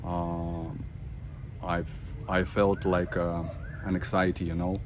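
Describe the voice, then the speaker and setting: Low, flat-voiced Russian man. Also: noisy background. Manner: halting, many fillers and restarts, simple non-native grammar. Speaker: vlogger. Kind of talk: personal talk to camera.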